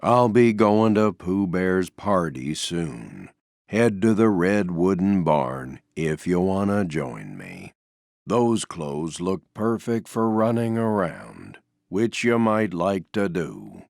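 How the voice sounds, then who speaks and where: A man voicing a gloomy, resigned but gentle stuffed donkey in a video game, with a gravelly drawl and a glum, deadpan, understated manner. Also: deep voice, slow pace, dry recording, clean studio sound, exaggerated voice. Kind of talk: video game character voice lines.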